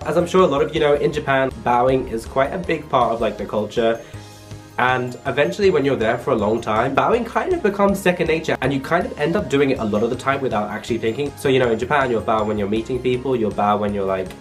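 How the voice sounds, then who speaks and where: A young man from England chats to his viewers in an easygoing vlog, leaning on "like" as he goes. His voice sits at an ordinary middle pitch. Faint music plays behind him. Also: lively intonation, quick pace. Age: young adult. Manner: chatty, lots of "like" fillers. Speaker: vlogger. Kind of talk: casual vlog monologue.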